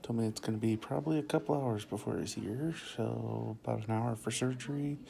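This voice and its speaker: A man with a gravelly, creaky voice calmly reporting news from a hospital room for a casual vlog. He speaks in a plain, matter-of-fact way at a middle pitch. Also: fairly quick. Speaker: partner filming the vlog.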